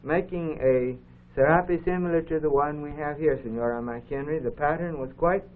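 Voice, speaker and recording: A man giving formal, measured explanatory commentary as co-host of an educational program, on a 1950s broadcast recording. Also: a little high, phone-quality sound. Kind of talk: unscripted explanatory commentary over film footage.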